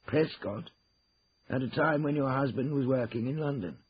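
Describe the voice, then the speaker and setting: A man voicing a stern, disapproving senior lawyer in an audiobook, formal, deliberate and pompous. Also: medium pitch, husky, lo-fi sound, pitched above the performer's own voice, lightly acted. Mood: accusing. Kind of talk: acted audiobook dialogue.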